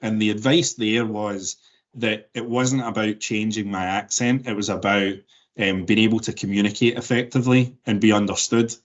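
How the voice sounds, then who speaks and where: A man in his forties with a softened Glaswegian Scottish accent, answering interview questions thoughtfully with many restarts and fillers. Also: fairly low pitch, steady tone, moderate pace, lo-fi sound. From Scotland. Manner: thoughtful, frequent word repeats and fillers. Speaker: interview guest.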